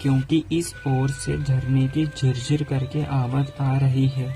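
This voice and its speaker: A man playing a foolish, excitable young Brahmin student in a cartoon moral story, speaking in an earnest, theatrical way. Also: mid-range voice, takes it slowly. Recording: music laid under the voice.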